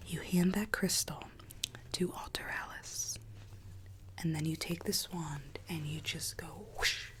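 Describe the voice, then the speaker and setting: Woman acting a whispering, quietly sinister storybook Alice. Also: sits low, steady tone. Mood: coaxing, scheming. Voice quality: breathy whisper. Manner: hushed, slow, coaxing, quietly sinister. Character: whispering mad Alice, conspiring captor seeking her true self. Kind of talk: ASMR roleplay.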